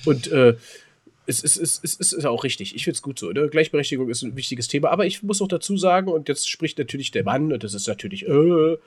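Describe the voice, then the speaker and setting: German guy chatting on a podcast, bit husky, blunt and slangy, unscripted. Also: a little high, animated, fast talker. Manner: colloquial, blunt, crude slang. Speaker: podcast co-host. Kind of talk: casual, unscripted banter.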